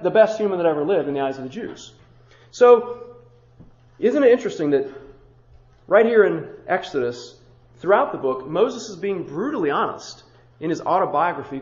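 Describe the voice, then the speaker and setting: A man with a high-pitched voice preaches a sermon as a pastor. He stacks short phrases into rhythmic lists and drops into casual, conversational asides. The recording is dull and muffled, with a roomy echo around him.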